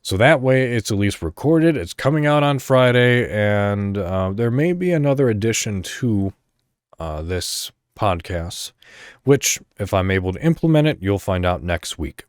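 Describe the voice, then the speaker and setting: A man hosting a podcast solo, chatting casually and thinking out loud in a rambling way. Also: lowish voice, lively intonation, measured pace, clean tone, no echo, clean studio sound. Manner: rambling, thinking aloud, mild swearing. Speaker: podcast host.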